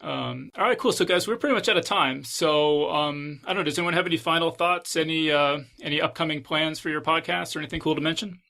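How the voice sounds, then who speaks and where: Man, podcast host, crisp bright tone, vocal fry, relaxed casual interview talk. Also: a little high, fast, few pauses.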